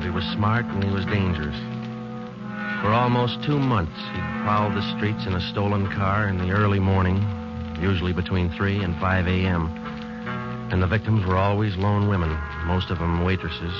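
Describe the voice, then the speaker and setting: An actor playing a police sergeant who narrates a 1940s radio crime drama in a clipped, flat, matter-of-fact manner. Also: male voice, sits low, close to an everyday voice. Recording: dull lo-fi 1940s radio broadcast.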